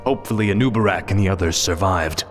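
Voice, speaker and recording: An actor playing a ruthless young fallen prince turned death knight in a fantasy game, grim, resolute and theatrical. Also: male voice, low voice, fast, close to an everyday voice. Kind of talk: fantasy video game acting. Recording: music behind the voice.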